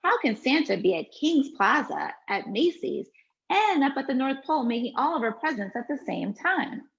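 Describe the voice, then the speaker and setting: An eager little girl full of questions, as a woman puts her on, her voice pitched up and bouncing between high wondering notes and gravelly edges. The read-along recording is dull and lo-fi. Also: very animated, normal speed, exaggerated voice. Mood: curious.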